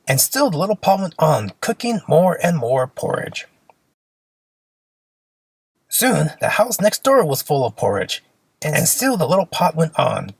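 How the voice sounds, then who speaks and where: Animated, creaky fairy tale storyteller. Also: mid-range voice, no echo. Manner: expressive, simple storybook delivery. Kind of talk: children's fairy tale read aloud.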